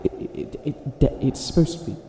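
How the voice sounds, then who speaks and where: A man's voice: fairly quick, warm tone, lightly acted. A man playing a theatrical, flustered would-be evil witch in a comedic ASMR roleplay, stammering and stretching words for dramatic emphasis. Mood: indignant, flustered. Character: flustered self-styled evil witch, hopelessly helpful, theatrical. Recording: echoey room with audible background noise.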